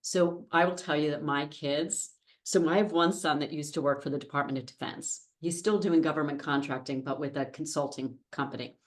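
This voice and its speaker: A woman's voice: low voice, fairly even intonation, fairly quick, hardly any pauses. An American woman in her fifties chatting as a podcast guest, telling anecdotes in a self-deprecating way. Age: 50s. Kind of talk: casual anecdotal interview conversation. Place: podcast studio.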